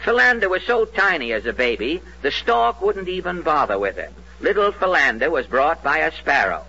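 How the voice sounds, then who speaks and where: A man's voice: a little high, very animated, moderate pace, bright, forceful tone, breathy edge. An American man narrating a scripted 1940s radio comedy in a mock-solemn, declamatory way. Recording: dull old radio broadcast.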